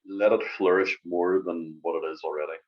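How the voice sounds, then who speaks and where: Low-voiced Northern Irish man, slightly muffled. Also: steady tone, fairly quick. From Northern Ireland. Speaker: music podcast co-host. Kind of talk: casual podcast chat.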